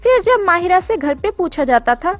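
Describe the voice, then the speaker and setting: A woman's voice: very high voice, sing-song, normal speed. A woman reading a scripted story as narrator. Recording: dull lo-fi audio with music. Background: background music.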